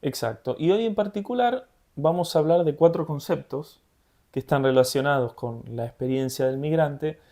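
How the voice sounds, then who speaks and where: Podcast co-host: young Argentine man, Rioplatense accent, warm mellow tone, easygoing talk. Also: on the high side, moderate pace. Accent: Rioplatense Argentine Spanish. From Buenos Aires, Argentina. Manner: relaxed and conversational, laughs easily. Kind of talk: casual podcast chat.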